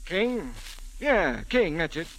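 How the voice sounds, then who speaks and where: A man's voice: fairly high pitch, very animated, slow, fairly bright tone, exaggerated voice, rough recording. An actor playing a slangy, distracted and evasive small-time gambling henchman in 1940s radio style.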